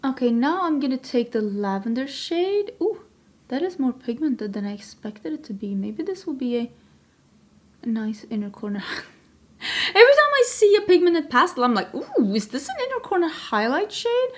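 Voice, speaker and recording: A woman's voice: high voice, very animated, normal speed. Chatty woman doing makeup. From Sweden. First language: Swedish. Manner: chatty, self-deprecating, talks while working. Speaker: beauty vlogger. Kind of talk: makeup tutorial chat.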